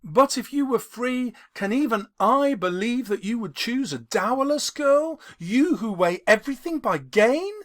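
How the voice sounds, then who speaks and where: A man voicing a gentle, sorrowful but resolute young woman in an audiobook of a classic novel. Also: medium pitch, lively intonation, measured pace, few pauses, pitched above the performer's own voice. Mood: resigned farewell.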